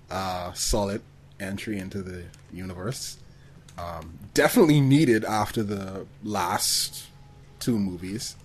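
A man co-hosting a podcast, talking casually about films with animated asides and mock quotes. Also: fairly low pitch, breathy edge. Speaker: podcast co-host. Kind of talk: casual film discussion.

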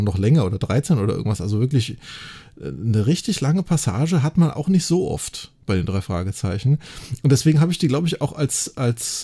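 A man's voice: medium pitch, mellow. A man co-hosting a podcast, talking casually and conversationally and often seeking agreement at the end of sentences. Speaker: podcast co-host.

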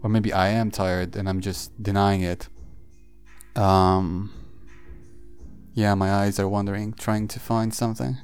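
A man hosting a solo podcast, thinking aloud in a halting, reflective way with frequent pauses and fillers. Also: lowish voice, little pitch movement, darkish tone, clear, resonant tone. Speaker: podcast host. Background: faint background music.

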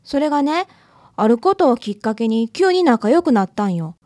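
A woman's voice: on the high side, very animated, normal speed, dark tone, dry recording, studio quality. A woman with a Kansai accent playing a friendly, outspoken local woman in a radio drama. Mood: nostalgic. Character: friendly, outspoken local woman, also as her schoolgirl self. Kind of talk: scripted radio drama acting.

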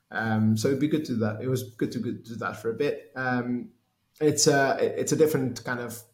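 A man's voice: mid-range voice, reverberant. A man from Portugal in his thirties, a race engineer answering interview questions in a hesitant way with many restarts. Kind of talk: conversational interview.

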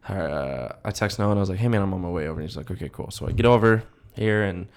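A man's voice: low voice, fast. A young man co-hosting a podcast, telling stories in a casual, slangy way full of "dude" and "like". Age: young adult. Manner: slangy, animated retelling with heavy "dude" and "like". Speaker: podcast co-host. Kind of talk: casual chat, storytelling.

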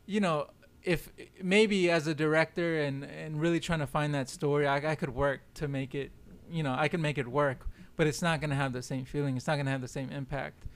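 Young guy, spanish first language, talking film stuff super casual, kinda high voice, real clean sound. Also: steady tone, fairly quick. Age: young adult. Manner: loose slangy talk full of "like" and "yo". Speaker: podcast guest, film director. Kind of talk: casual chat.

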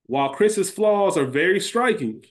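A man's voice: high voice, expressive, moderate pace. A man co-hosting a podcast, chatting informally and casually with slang. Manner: informal, slangy, with some swearing. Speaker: podcast co-host. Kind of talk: casual chat; fantasy draft discussion of a TV show.

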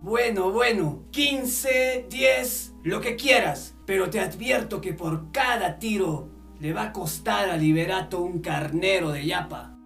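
A man playing a proud, cold village hired killer in a radio drama, deliberate and boastful. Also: high-pitched, a bit bright, soft background music, exaggerated voice. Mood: cool, bargaining.

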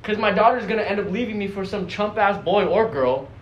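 A man's voice: high voice, fairly quick, dull audio. A young man chatting casually as a podcast host, blunt and emphatic, with heavy slang and swearing, repeating himself to make a point. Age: young adult. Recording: noticeable background noise.

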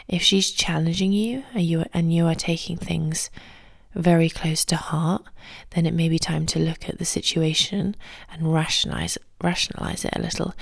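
Woman, podcast host, soft and near-whispered, low voice, dry close sound. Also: gravelly, dry recording. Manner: soft, calm and quiet, often near a whisper. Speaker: podcast host and ballet teacher. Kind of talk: solo podcast advice talk. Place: quiet home early in the morning.

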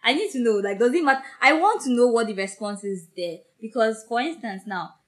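A young woman from Nigeria in casual chat as a podcast co-host, animated and emphatic, often laughing. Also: fairly high pitch, a little fast.

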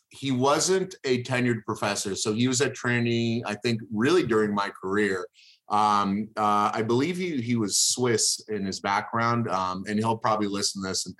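A man in his thirties, a native Hebrew speaker from Israel, talking as an interview guest in a thoughtful, halting way with many fillers. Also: fairly low pitch, a bit bright. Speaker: guest, moving company businessman. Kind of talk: casual podcast interview.

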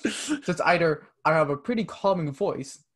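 A man's voice: a little high, expressive, moderate pace, fairly bright tone. A young man from Canada, a podcast guest chatting casually, rambling with many fillers. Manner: rambling, many "like" fillers, acts out quoted texts. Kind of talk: casual interview chat.

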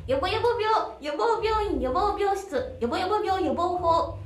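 A woman's voice: very high voice, expressive, moderate pace. A woman reciting tongue twisters and chatting casually as a voice-acting show regular. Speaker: aspiring voice actor and show regular. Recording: echoey room, distant microphone.

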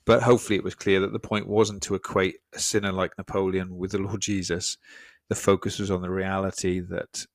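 A man's voice: sits low, flat delivery, a little fast, hardly any pauses, no room sound, studio quality. A man, a preacher, talking over his sermon in a casual church podcast chat, thoughtful and self-deprecating, often repeating words.

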